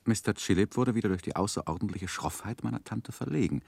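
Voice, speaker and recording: An actor playing a grown man narrating his own life story in a radio drama, with measured, wry delivery. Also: fairly low pitch, animated, gravelly, darkish tone, husky, dry recording, heavily acted. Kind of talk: scripted literary narration in 1960s radio drama.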